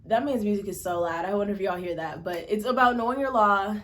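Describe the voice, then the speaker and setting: A woman in her twenties from Malawi, telling a story in a casual, chatty vlog and acting out the quoted lines. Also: fast. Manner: chatty, expressive, acts out quotes with pitch jumps. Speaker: vlogger.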